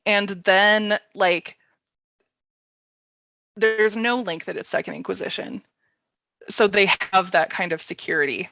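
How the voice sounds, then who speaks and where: A woman hosts a relaxed, unscripted panel discussion. Her voice has a lot of creak and sits at an ordinary pitch. She rambles informally with a joking touch, and the slightly dull recording is very dry and close. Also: dry recording. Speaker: workshop panel host. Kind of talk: casual, unscripted panel chat.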